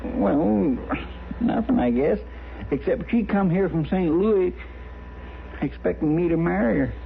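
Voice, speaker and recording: Radio western sidekick: sheepish rural American deputy, creaky, lightish voice, deferential, rambling, on a tinny old recording. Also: male voice, high voice, expressive, a little slow, heavily acted. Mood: sheepish confession. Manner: rambling, hesitant, folksy, deferential. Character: sheepish, lovesick, folksy deputy of a frontier marshal. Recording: tinny, faintly noisy old radio broadcast.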